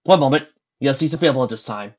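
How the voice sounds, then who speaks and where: A man's voice: mid-range voice, expressive, fast talker, close to an everyday voice. A man voicing a stiff, formal headquarters phone operator, on a dull lo-fi recording.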